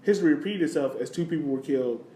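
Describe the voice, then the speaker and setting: Higher-voiced man, echoey podcast recording. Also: rapid-fire, warm tone. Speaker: podcast host. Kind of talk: casual sports discussion. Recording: roomy echo, background noise.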